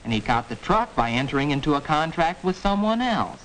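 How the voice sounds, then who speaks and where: A man's voice: fairly high pitch, sing-song, fairly quick, a bit bright, poor audio. A young-sounding American man playing an earnest teenage boy who explains things didactically in a 1940s educational film. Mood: explaining. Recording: hissy old film soundtrack.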